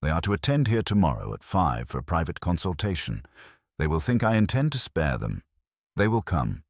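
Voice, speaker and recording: A man's voice: low voice, sing-song, dry recording, studio quality, heavily acted. A man voicing an imperious, unruffled older master detective who speaks formally and with command in a detective story. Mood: calm, calculating. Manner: formal, commanding, deliberate wording.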